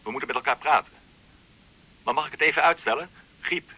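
An actor playing a teasing, lively man in a 1980s radio drama. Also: a little high, a little fast, breathy edge, full character voice, low-quality recording. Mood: friendly. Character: man, playful lover and doctor.

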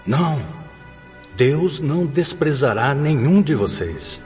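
A solemn spirit mentor in a radio drama, grave and oratorical. The pitch swings widely as the lesson unfolds in a long reverb. Soft music sits behind. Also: male voice, animated. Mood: solemn, reassuring. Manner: grave, oratorical, measured didactic delivery. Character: Sóstenes, solemn male spirit instructor addressing penitent souls. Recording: dull reverberant recording with music.

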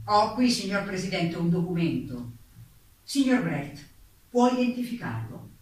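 A woman's voice: fairly low pitch, very animated, measured pace, a little mellow. A woman playing a stern, insistent investigator at a 1947 political hearing, in a formal theatrical radio reading. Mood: accusing, formal. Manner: formal, pressing, interrogating. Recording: echoey room, distant mic, faint noise.

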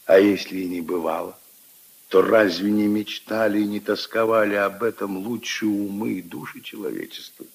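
Gravelly, husky old Russian-drama grandfather. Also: lowish voice, steady tone, moderate pace, rough recording. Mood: wistful, earnest. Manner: ruminative, emphatic, intimate storytelling to his granddaughter. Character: kindly old grandfather, opinionated, wistful storyteller of love. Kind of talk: 1950s radio drama acting. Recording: 1950s radio play recording.

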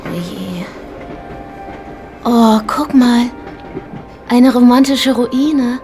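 Radio play role: romantic young girl, high, gushing, naive, faint music and train behind. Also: very slow, a little mellow. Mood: dreamy. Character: naive, romantic young daughter, dreamy and excitable.

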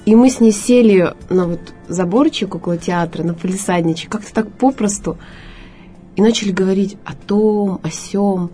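A woman's voice: mid-range voice, normal speed, dark tone. A woman, a theatre actress, sharing memories in an informal, conversational way with short, simple phrases. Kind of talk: informal spoken reminiscence. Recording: music behind the voice.

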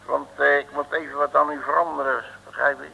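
An actor playing a nervous, blustering, folksy detective in a comic radio play from around 1960, grumbling in excitable colloquial speech. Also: male voice, fairly high pitch, fairly quick, vocal fry, breathy edge, heavily acted. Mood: cheeky, bluffing. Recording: old muffled radio recording with long reverb.

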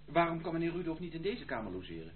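An actor playing a courteous, obliging houseguest in an old Dutch radio play, polite and formal. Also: male voice, a little high, very animated, quick pace. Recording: muffled phone-like old radio recording, hum.

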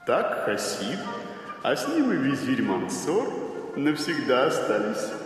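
A man's voice: mid-range voice, huge pitch swings. An actor playing a proud, scheming, wicked sorcerer in a theatrical fairy-tale audio drama, drawing out his words. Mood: gloating. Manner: theatrical, drawn-out, gloating delivery with chuckles. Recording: echoey hall reverb over music.

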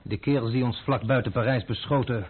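A man's voice: mid-range voice, fairly quick, slightly rough, lightly acted. An actor playing a stubborn, wary young man in a radio play, with a dry, hesitant, thinking-aloud manner. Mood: wary, probing. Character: stubborn, wary young Dutchman, puzzled but cheeky. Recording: tinny, narrow-band old radio recording with hiss.

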